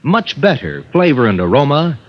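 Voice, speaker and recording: An American man delivers a scripted radio advertisement with animated pitch in a mid-range voice. The recording is lo-fi, in 1950s broadcast style. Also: normal speed. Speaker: commercial announcer. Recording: dull lo-fi vintage radio recording.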